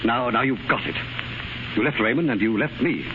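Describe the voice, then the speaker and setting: A man's voice: mid-range voice, normal speed, noisy background, close to an everyday voice. An actor playing a stern, ambitious lawyer, a wounded husband and father, terse and hesitant in 1930s radio drama style. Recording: muffled 1930s radio recording.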